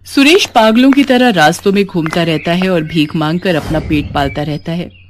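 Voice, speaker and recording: A woman narrating a scripted moral story with expressive storytelling. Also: fairly low pitch, normal speed, a little hiss or hum.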